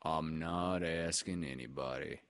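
Male reader as gloomy storybook donkey, deep low voice, slow heavy delivery, resigned, long-suffering grumble. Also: a bit bright, heavily acted. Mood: sour, resigned. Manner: glum, slow, ponderous, resigned.

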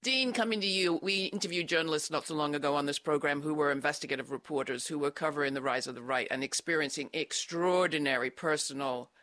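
A middle-aged woman hosting an interview, asking questions in a measured, searching way. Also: low voice, fairly bright tone, no room sound. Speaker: host.

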